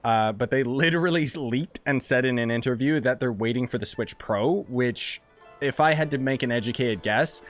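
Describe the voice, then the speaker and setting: A man chatting casually and informally to viewers as he streams a game, explaining things as he goes. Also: medium pitch. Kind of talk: casual live-stream chat and game commentary. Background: game music.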